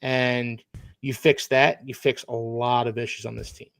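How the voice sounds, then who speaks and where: A man's voice: medium pitch, level tone, measured pace, vocal fry, a bit bright, smooth, dry recording. A man from Philadelphia hosting a casual sports chat, talking enthusiastically and at length. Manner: enthusiastic, expansive.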